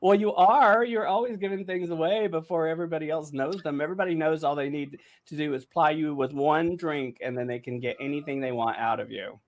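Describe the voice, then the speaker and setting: Chatty, higher-voiced man hosting a podcast. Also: a little fast, hardly any pauses. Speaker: podcast host and showrunner. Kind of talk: casual behind-the-scenes podcast chat.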